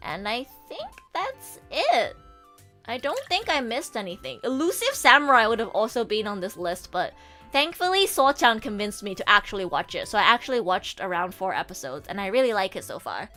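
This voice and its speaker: A woman streamer chatting casually with her viewers, animated and swearing freely, her throat a bit sore from a coming cold. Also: medium pitch, moderate pace, faint music behind. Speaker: VTuber streamer and voice actor. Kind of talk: casual livestream chat.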